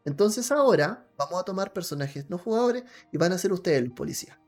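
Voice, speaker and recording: A man's voice: high-pitched, lively intonation, brisk, a little mellow, faint music behind. A man with a Chilean accent talking casually as a panelist on a talk show, telling anecdotes. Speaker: panelist on a tabletop role-playing talk show.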